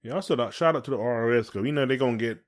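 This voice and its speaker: A man's voice: medium pitch, very fast. An American man co-hosting a podcast in casual chat, with informal, rambling, colloquial phrasing. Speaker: podcast co-host.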